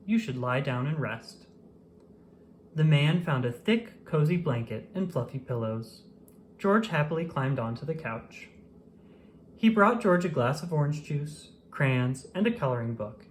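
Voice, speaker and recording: Animated children's storybook reader, very lively pitch. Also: a little slow, a little hiss or hum. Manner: animated, dramatic emphasis. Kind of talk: expressive read-aloud storytelling.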